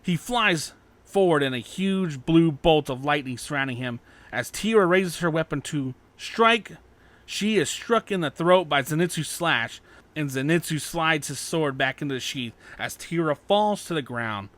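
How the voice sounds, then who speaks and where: Higher-voiced male audiobook narrator. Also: lively intonation, a little slow, fairly bright tone. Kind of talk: scripted fan fiction audiobook narration.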